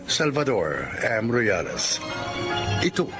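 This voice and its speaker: Slow, sweeping male radio drama announcer. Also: mid-range voice, sing-song. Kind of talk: scripted dramatic narration and credits. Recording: music under the voice. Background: loud background music.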